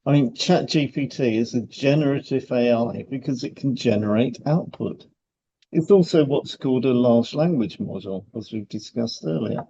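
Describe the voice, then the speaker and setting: Male podcast co-host, mellow mid-range voice for semi-scripted explanation, on slightly muffled audio. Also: medium pitch, moderate pace, creaky, breathy edge.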